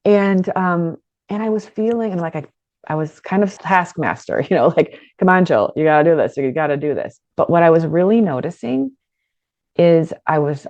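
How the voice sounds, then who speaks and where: A middle-aged woman with a low voice teaches an online course for business owners. She talks in a conversational, energetic way and often stumbles and restarts her sentences. The sound is dry and slightly dull. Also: dry recording. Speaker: business coach.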